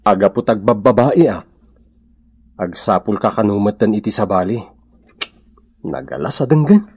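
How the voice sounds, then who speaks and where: A man with an Ilocano accent playing a sheepish, philandering husband, hesitant and coaxing with a husky voice, in a radio comedy drama. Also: fairly low pitch, moderate pace, a little mellow. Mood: apologetic, pleading.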